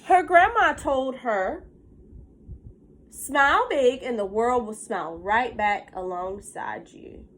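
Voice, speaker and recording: Lady grade school teacher, kinda high voice, bit stuffy, takes it slow doing a read-aloud for little kids. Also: expressive, a bit bright. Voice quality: congested from pollen. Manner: simple explanations and checking questions to children. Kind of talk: read-aloud lesson for young children.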